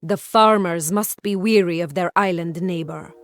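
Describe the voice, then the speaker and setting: A woman's voice: lowish voice, normal speed, lightly acted. A woman playing a proud, commanding warrior leader in a video game, speaking in a resolute, declamatory way. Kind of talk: dramatic video game acting.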